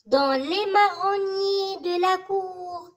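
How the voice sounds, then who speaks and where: A very high-pitched voice reciting a school poem in short, measured phrases with pauses, for learners to memorize. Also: a bit bright.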